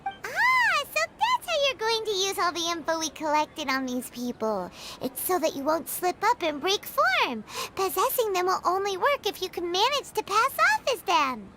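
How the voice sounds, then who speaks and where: A woman voicing a small, perky, blunt fairy companion girl in a video game, with a squeaky childlike voice. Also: sing-song, moderate pace, very noisy. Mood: excited realization. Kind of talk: animated video game dialogue.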